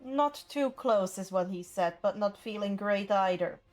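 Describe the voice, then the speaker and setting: A woman's voice: medium pitch, unhurried, darkish tone, soft background music. A woman running a tabletop roleplaying game as game master, firm and matter-of-fact.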